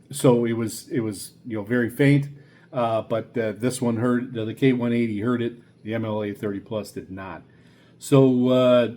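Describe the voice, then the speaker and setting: A middle-aged man giving a casual hobbyist review, talking in a halting, rambling, hesitant way. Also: mid-range voice, a little mellow. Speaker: radio hobbyist video host. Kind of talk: casual product review talk. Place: small home radio room, indoors.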